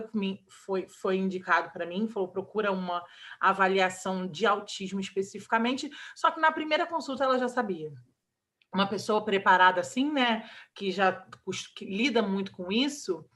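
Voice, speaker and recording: A woman in her thirties with a Brazilian Portuguese accent, an interview guest talking about her child, emotional and halting, with many restarts. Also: mid-range voice, fairly bright tone. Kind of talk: candid personal interview.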